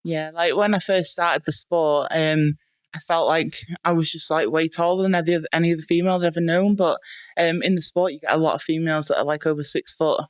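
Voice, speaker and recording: Welsh woman, twenties, smooth voice, even flat delivery, dry close sound, podcast guest. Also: sits low, a little fast, dry recording. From North Wales. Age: 20s. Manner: relaxed, matter-of-fact, frequent ums and likes. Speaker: guest, strongwoman athlete. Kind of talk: casual podcast interview. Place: small dry room in a house.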